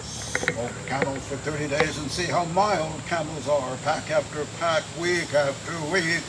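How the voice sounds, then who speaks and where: Old time radio announcer guy, emphatic, fairly high voice, very noisy recording. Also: fairly even intonation, a little slow. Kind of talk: vintage radio commercial announcing.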